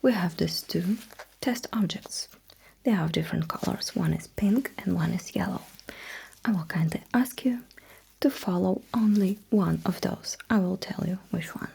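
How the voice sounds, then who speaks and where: A woman whispering in an ASMR roleplay as a technician, giving gentle, slow instructions in short phrases with a breathy, mostly unvoiced voice. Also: lowish voice. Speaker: ASMR performer role-playing a sci-fi technician running calibration tests.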